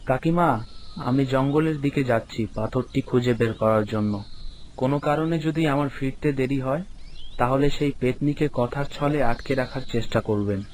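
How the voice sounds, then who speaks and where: Young guy telling a spooky story as himself, steady and earnest, mid pitch. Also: moderate pace, slight background noise. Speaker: narrator and hero. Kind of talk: first-person story narration.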